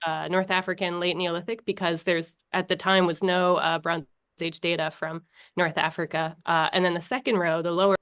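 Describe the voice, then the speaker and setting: A woman's voice: on the low side, highs cut off. A woman from the United States with an American accent, a guest researcher explaining her work casually with lots of fillers and restarts over a video call. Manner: relaxed, many fillers and restarts.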